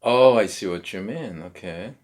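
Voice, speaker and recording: A pastor hosts a church interview. His voice is very low, and he keeps the talk going with frequent short affirmations. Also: moderate pace, a little mellow. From Korea.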